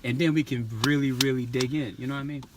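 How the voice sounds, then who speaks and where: A man, a father in a family vlog, talking in a slow, laid-back, long-winded way in a car. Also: mid-range voice, steady tone, distant mic, crowd noise. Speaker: father, co-host. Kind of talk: casual family chat.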